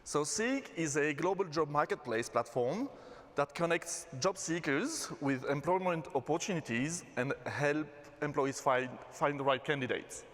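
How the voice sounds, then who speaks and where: A man from Belgium with a Belgian accent, giving a relaxed conference talk as a systems engineer. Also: a little high, unhurried. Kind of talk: conference presentation. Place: conference hall. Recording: reverberant hall.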